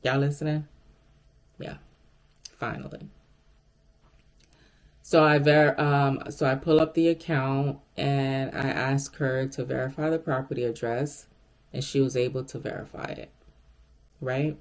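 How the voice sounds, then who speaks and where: A woman with a deep voice telling a story to her viewers in a casual, animated way. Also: unhurried, pauses often. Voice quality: deep, gravelly voice. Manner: chatty and animated, addresses viewers directly. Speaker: vlogger.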